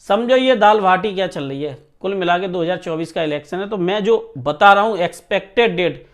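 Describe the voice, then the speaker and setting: Male coaching teacher, notably high voice, emphatic informal explainer. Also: lively intonation, fairly quick. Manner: emphatic, repeatedly checks listeners understand. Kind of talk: informal exam news talk to students.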